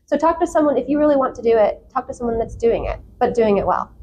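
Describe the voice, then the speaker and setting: A woman's voice: a little high, lively intonation, fast, darkish tone, slight background noise. A woman chatting casually and enthusiastically as an interview guest, in an informal way. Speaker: podcast guest, content creator.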